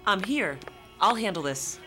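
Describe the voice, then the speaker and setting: An actress playing a calm, controlled hospital nurse in charge in a radio drama, speaking in a measured, formal, clinical way. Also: female voice, medium pitch, faint music behind. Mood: firm, soothing.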